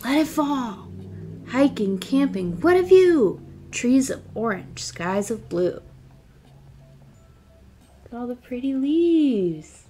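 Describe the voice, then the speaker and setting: High-pitched young woman reading slowly to children. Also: sing-song, mellow, a little hiss or hum. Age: young adult. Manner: encouraging, addresses young listeners directly. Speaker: children's librarian reading storytime. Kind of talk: picture-book read-aloud for children. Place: indoor library room with bookshelves.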